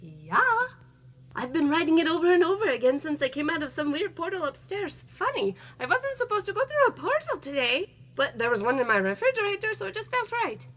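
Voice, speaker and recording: Woman as high-voiced, breezy young space traveler. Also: sing-song, fairly quick, a bit bright, heavily acted. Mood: chatty, pleased. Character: breezy, cheeky, naive female space traveler on wheeled shoes. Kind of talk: comedic audio drama.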